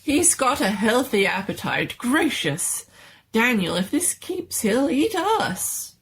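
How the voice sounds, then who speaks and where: A woman voicing an excitable, doting little male mad scientist in a storybook reading, fussy and full of proud exclamations. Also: on the high side, slow, a little hiss or hum, pitched above the performer's own voice, exaggerated voice. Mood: delighted. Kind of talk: dramatic reading of a horror-comedy story.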